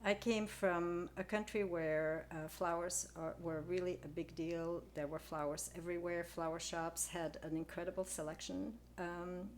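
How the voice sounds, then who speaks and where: An older woman talks through her answers softly, pausing often with little fillers. Her voice sits fairly low. A faint hiss of noise lies under the recording. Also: steady tone. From Belgium. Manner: soft-spoken, hesitant with many fillers. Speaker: guest floral designer. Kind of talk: casual interview.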